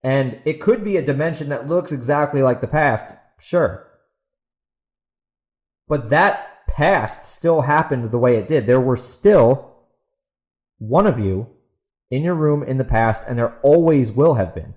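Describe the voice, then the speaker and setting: A man's voice: mid-range voice, expressive, a little slow, darkish tone. A man hosting a solo podcast, talking casually and emphatically with opinionated asides. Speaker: podcast host and author.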